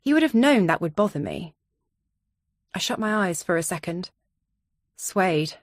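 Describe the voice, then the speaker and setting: A woman's voice: on the low side, very animated, a little slow, no echo, studio quality. A woman reading scripted first-person thriller narration as an audiobook narrator.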